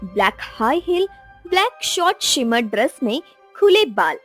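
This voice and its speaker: High, expressive woman narrating a romance. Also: unhurried, soft background music. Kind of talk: dramatic scripted story narration.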